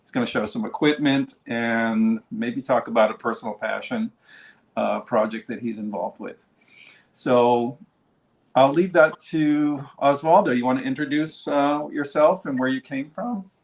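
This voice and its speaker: A man casually introducing a friend on a livestream, speaking in a relaxed, slightly hesitant way. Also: mid-range voice, unhurried. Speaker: video creator introducing a guest. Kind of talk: casual interview chat.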